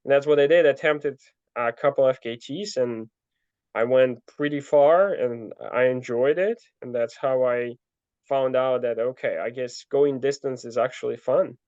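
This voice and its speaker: A young man telling his story in a relaxed interview, full of fillers and restarts. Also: medium pitch, normal speed, no room sound. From Ukraine. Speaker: guest, ultra trail runner. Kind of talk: casual interview answers. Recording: dull lo-fi dry sound.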